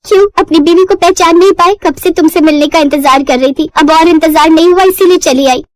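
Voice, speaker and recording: A woman's voice: extremely high pitch, fairly quick, no room sound, pitched above the performer's own voice. A woman voicing a young village wife in a cartoon story, plaintive and rustic in speech, pleading yet defiant. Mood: reproachful.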